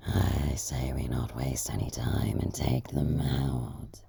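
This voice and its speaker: A woman voicing a menacing shadowy henchman in a video game, growling and rasping as she exhales through the words. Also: very deep voice, steady tone, slow, dark tone, voice pushed down, full character voice. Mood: sinister growl. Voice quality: growling, exhaled breathy rasp. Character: menacing shade henchman serving a toxic villain.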